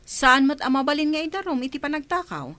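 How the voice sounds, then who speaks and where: A woman acting a calm, practical younger sister in a radio drama, with an Ilocano Filipino accent. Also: sits high, fairly quick, exaggerated voice. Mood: calm, concerned.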